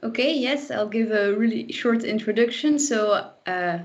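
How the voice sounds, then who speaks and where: A woman's voice: on the high side, measured pace. A woman in her twenties from Belgium, a guest casually introducing herself on a livestream over a lo-fi online call. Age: 20s. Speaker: guest, young trainee engineer. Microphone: video call microphone. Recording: dull lo-fi online call audio.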